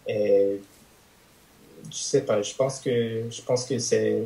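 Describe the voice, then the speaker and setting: A man's voice: fairly low pitch, fairly even intonation, takes it slowly, creaky, warm tone, rough recording. A man with a Canadian French accent, a polyglot guest on a podcast, talking hesitantly with many restarts and fillers. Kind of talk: casual conversation.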